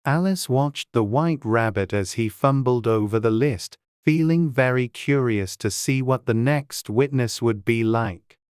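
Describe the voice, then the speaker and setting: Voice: medium pitch, a little slow, few pauses, a little mellow, clear, resonant tone, no room sound, studio quality. An audiobook narrator reading a children's classic in a scripted storybook style.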